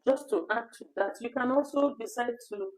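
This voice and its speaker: A woman with a naturally high voice co-hosting a casual live discussion, talkative and quick to finish her partner's sentences. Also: a little mellow, husky, poor audio. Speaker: co-host of an online talk program.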